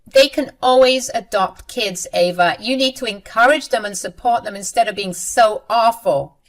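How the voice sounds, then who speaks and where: A woman's voice: on the high side, normal speed, pitched above the performer's own voice, close to an everyday voice. A woman voicing a disapproving older mother who scolds her grown daughter for cruelty. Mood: reproachful. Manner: reproachful.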